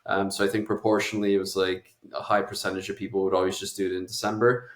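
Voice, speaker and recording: A man's voice: sits low, level tone, fast. A man, a doctor being interviewed, explaining things at length in a casual, conversational way. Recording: echoey room.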